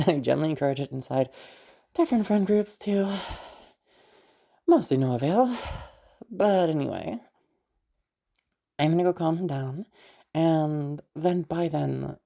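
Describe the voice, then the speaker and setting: Low, creaky-voiced, protective young woman acted. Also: dark tone. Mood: reassuring. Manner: reassuring, halting, sighing, casually sweary. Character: protective, mysterious, easygoing big sister escorting a new acquaintance. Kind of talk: intimate audio roleplay addressed to the listener.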